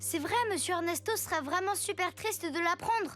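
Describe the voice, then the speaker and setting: A woman's voice: extremely high pitch, moderate pace, fairly bright tone, faint music behind. A woman playing a principled young girl superhero leader in a cartoon dub, decisive and earnest. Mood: concerned. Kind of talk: animated children's cartoon dub acting.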